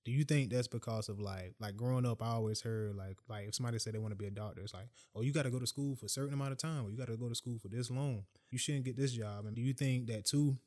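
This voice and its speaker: A man's voice: mid-range voice, fast, hardly any pauses, darkish tone. A man hosting a podcast, talking in a loose, casual way full of "uh," "like" and "man.". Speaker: podcast host.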